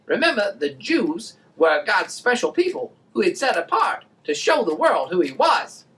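A performer voicing an excitable, peppy puppet host who presents a children's show with exaggerated enthusiasm. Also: medium pitch, moderate pace, voice pushed up. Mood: peppy, excited.

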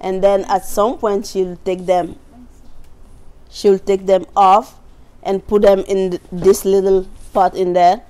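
Mellow West African woman teaching cooking. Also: mid-range voice, level tone, slow pace. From Burkina Faso. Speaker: cooking instructor. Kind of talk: live cooking demonstration. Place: large indoor teaching kitchen.

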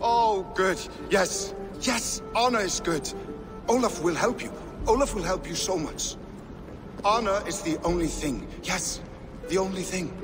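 An actor playing a nervous, eager middle-aged Norse outcast trader in a video game, jittery and fawning, repeating himself in clipped phrases. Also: male voice, high-pitched, measured pace, music in the background. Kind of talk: video game cutscene acting.

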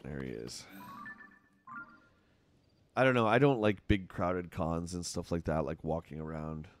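A man's voice: low voice, unhurried, a little hiss or hum. A middle-aged man with a Canadian accent, chatting casually with his chat while playing a game on a live stream at home. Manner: rambling, chatty, answers chat.

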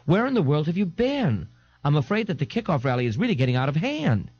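A man's voice: a little high, big pitch swings, quick pace, a little mellow, lo-fi sound, voice pushed up. A man voicing an elegant, fussy male party host with a fretful, theatrical manner in an audiobook. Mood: breezy.

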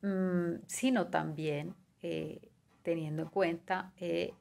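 A woman in her thirties speaks in a lowish voice with a gravelly fry, giving a measured, partly read talk. She works through long lists item by item and fills her pauses with short hesitations. She is heard over a webcam from a small room. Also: fairly even intonation, a little mellow. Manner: measured, listing items, with fillers like "eh" and "digamos". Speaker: guest speaker on pastry and cuisine. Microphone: video call webcam.

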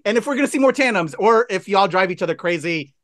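A man's voice: high voice, animated, quick pace, a bit bright, no echo, clean studio sound. A man hosting a casual video interview in an upbeat, colloquial way. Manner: upbeat, colloquial, says y'all. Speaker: interview show host. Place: indoors, on a video call.

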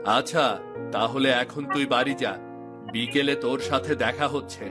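A man's voice: medium pitch, steady tone, normal speed, close to an everyday voice. A man voicing an older village fisherman in a cartoon, familiar and persuasive in manner, shrewd by temper. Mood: skeptical. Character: older village fisherman and husband, skeptical, slyly scheming. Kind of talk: cartoon dialogue acting. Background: music.

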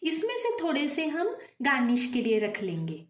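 A woman hosting a home cooking video, calmly talking viewers through each step and calling them friends. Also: high voice, moderate pace, reverberant. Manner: addresses viewers as friends, calm explaining. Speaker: cooking channel host. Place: home kitchen at the stove.

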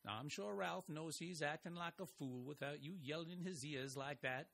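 A man voicing a calm, wry small-town husband with a dry, teasing manner. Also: on the high side, moderate pace, creaky. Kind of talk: audio drama acting.

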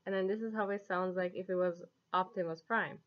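A woman's voice: lowish voice, steady tone, brisk, noisy background. A woman in her twenties chatting casually and giggly as she demonstrates an app in a vlog. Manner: giggly, amused. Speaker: app reviewer. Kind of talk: casual vlog chat to camera. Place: small home living room, indoors. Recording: dull lo-fi webcam sound.

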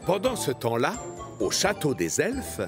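A man narrating a children's cartoon story in an expressive storytelling manner. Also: on the high side, measured pace, over music.

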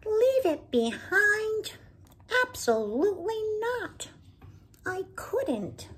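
A woman voicing a young, anxious male bird who pleads in a high voice. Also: big pitch swings, very slow, fairly bright tone, heavily acted. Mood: pleading.

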